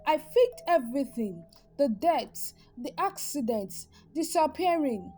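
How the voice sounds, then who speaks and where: An earnest husband full of regret, from a narrated story, acted out by a woman. The voice is high and expressive, soft and pleading in manner. Music sits behind it. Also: slow pace, heavily acted. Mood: earnest, pained. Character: remorseful, earnest husband lecturing his spendthrift wife.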